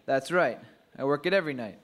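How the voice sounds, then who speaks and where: An actor playing a surly, evasive working-class young candy seller on a train in an old-time radio play. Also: male voice, medium pitch, expressive, brisk, a bit bright, natural voice. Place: live stage performance at a convention.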